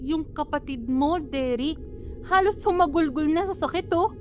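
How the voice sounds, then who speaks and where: A woman's voice: high voice, moderate pace, faint music behind, lightly acted. A woman with a Filipino accent playing a worried, nagging mother in an amateur radio drama. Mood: angry scolding. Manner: scolding, exclamatory. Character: worried, nagging, quick-to-scold mother of grown children.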